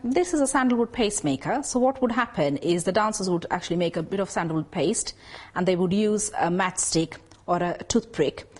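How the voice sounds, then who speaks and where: A woman speaking in a documentary interview, explaining the history and customs of a classical dance with frequent pauses and fillers. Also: fairly low pitch, expressive, a little mellow.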